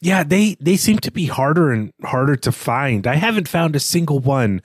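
A man co-hosting a podcast, discussing literature casually in a tentative, halting, self-effacing way. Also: a little high, animated, slightly rough, dry recording, studio quality. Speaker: podcast co-host.

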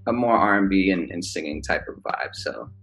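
A young man with a somewhat low voice talks about his music in a relaxed, easygoing way, pausing often to find his words. Faint music sits underneath. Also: vocal fry. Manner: laid-back, hesitant with fillers. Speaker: singer guest. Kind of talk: casual interview.

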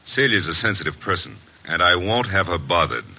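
An actor playing a cold, guarded husband under suspicion, clipped and dismissive, in 1950s radio drama style. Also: male voice, low-pitched, animated, brisk, poor audio. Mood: curt, controlling. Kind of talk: 1950s radio detective acting.

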